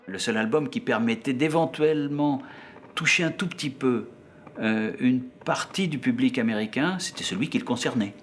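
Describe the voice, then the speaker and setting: A man being interviewed, recalling his work in a halting way with frequent pauses. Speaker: interviewee, screenwriter. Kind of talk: recollections in an interview. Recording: clear background noise with low rumble.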